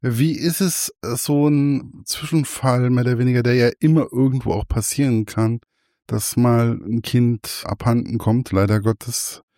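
A man from Hesse hosting an interview, asking rambling, relaxed questions. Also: medium pitch, a little slow, dry recording, studio quality. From Hesse, Germany. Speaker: host. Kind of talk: casual interview chat.

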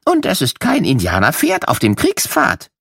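Man playing a playful riddling firefighter, swooping pitch. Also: wildly swooping pitch, normal speed, fairly bright tone, slightly rough, no room sound, clean studio sound, exaggerated voice. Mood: playful, boastful. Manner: playful, theatrical, teasing. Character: riddling, proud firefighter addressing children. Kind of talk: children's audio story.

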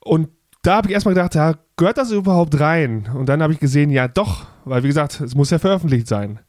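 A man's voice: a little high, lively intonation, quick pace, darkish tone, dry recording, clean studio sound. A man in his thirties hosting a podcast in casual chat, talking freely with many fillers and restarts. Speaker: podcast host.

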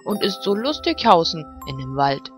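A woman's voice: fairly low pitch, sing-song, moderate pace, faint music behind. A woman voicing a sly, hungry male wolf in a fairy tale, wheedling and emphatic, drawing words out when threatening. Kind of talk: fairy-tale storybook reading.

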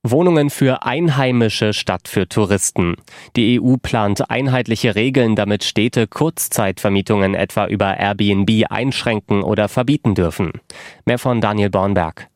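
A man reading the radio news as studio anchor in a measured, formal way. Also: on the low side, dry recording. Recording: dry studio sound.

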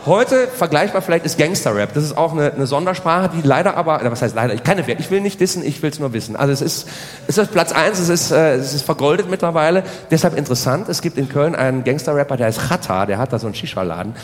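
A man's voice: fairly high pitch, expressive, fast, hardly any pauses. A man performing a spoken stage comedy monologue, rambling and repeatedly checking for agreement with short tag questions. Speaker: stand-up comedian. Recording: reverberant hall sound.

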